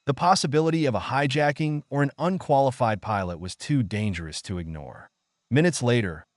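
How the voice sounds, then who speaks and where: A man's voice: mid-range voice, dry recording, studio quality. A man reading a scripted story in a dramatic, suspenseful narrator's style.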